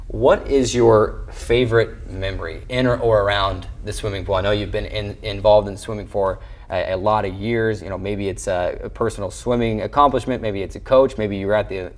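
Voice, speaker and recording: A man hosts a sports interview in a fairly low voice. He talks conversationally and takes a winding route to each question. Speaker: show host and interviewer.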